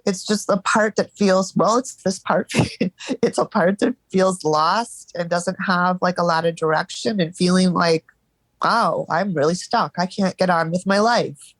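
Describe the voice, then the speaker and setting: A woman in a live therapy session over a call, speaking haltingly and searching for words, stuffed up from crying earlier. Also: fairly low pitch, no echo, low-quality recording. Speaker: therapy client.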